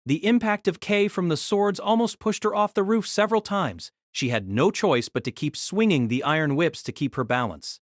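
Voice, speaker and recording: A woman's voice: on the low side, animated, normal speed, few pauses, dry recording, clean studio sound. A woman narrating a novel in a scripted, dramatic storytelling style.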